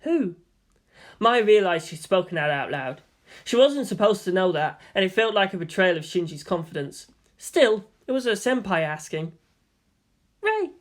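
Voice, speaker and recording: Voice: medium pitch, expressive, normal speed. A storyteller narrates scripted fan fiction in audiobook form. The voice sits in a middle register between the dialogue.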